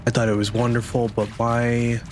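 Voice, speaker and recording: A man's voice: mid-range voice, completely flat delivery, moderate pace, slight background noise. A man with a stuffy nose from a cold, casually commentating a video game playthrough. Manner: casual, chatty. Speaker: gaming channel host. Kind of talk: let's play commentary.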